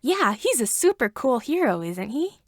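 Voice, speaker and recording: A woman voicing a bright, excitable, friendly man in a story reading. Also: fairly high pitch, moderate pace, dry recording, studio quality. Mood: enthusiastic. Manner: exuberant.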